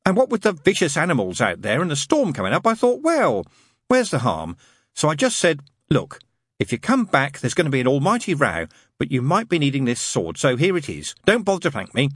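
A man's voice: fairly high pitch, huge pitch swings, fairly quick, husky, no room sound, studio quality, pitched above the performer's own voice, full character voice. A man voicing a fussy, anxious male angel who talks in a prim, hesitant, apologetic way. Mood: flustered.

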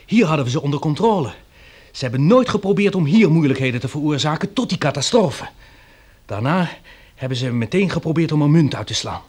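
An animated, insistent blind survivor. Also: male voice, fairly high pitch, brisk, breathy edge. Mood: emphatic, puzzled. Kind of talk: radio drama acting.

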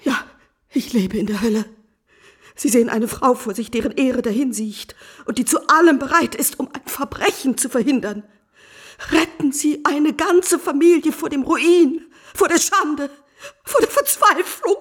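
An actress playing a desperate, virtuous wife in a radio drama, imploring formally and emotionally in a breathy voice. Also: female voice, sits high, normal speed, close to an everyday voice. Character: desperate virtuous wife pleading to save her family. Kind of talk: literary radio drama acting.

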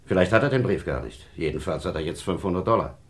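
An actor playing a brisk, sceptical district attorney, patronising and dismissive, in a German radio crime drama. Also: male voice, low-pitched, lively intonation. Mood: jovial, dismissive.

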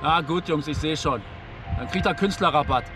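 An actor playing a shrewd, sardonic young driver who haggles stubbornly in slang in a radio drama. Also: male voice, on the high side, normal speed, recorded from a distance, close to an everyday voice. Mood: conceding, shrewd. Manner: slangy, sarcastic, bargaining. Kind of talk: naturalistic radio drama dialogue. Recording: inside a car, off-mic, music behind.

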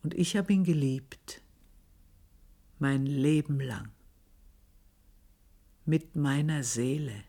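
An older actress playing an old, scheming maidservant in a radio drama, brooding and intense, dropping into whispers. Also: female voice, deep voice, slow, long pauses, mellow, full character voice. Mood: confessional. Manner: brooding, intense, shifts between whispers and outbursts. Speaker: old maid narrating her life. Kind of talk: 1980s radio drama acting.

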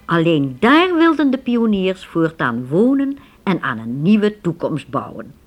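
A woman narrating a radio play as a storyteller, with dramatic, measured scripted delivery. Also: lowish voice, big pitch swings.

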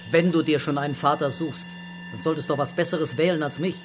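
An actor playing a middle-aged police commissioner in a radio crime drama, speaking in a dry, sarcastic, unflustered way. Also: male voice, fairly high pitch, fairly even intonation, fast, over music, close to an everyday voice. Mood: sardonic, dismissive. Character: male police commissioner, unafraid, sardonic, stubbornly denying fatherhood.

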